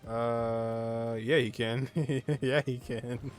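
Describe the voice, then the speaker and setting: A man's voice: medium pitch, level tone, very slow, soft background music. A man giving informal, reactive gameplay commentary, half talking to himself with frequent exclamations. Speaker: gaming streamer.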